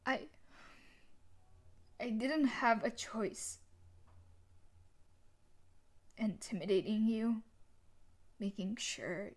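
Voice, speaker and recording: A woman performing a dramatic audio roleplay as a tough yandere bully turned desperate, with intense emotional delivery and a breathy voice that often sinks toward whispers. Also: fairly high pitch, darkish tone. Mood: remorseful, pleading. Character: tough sadistic yandere bully wife, now desperate and remorseful.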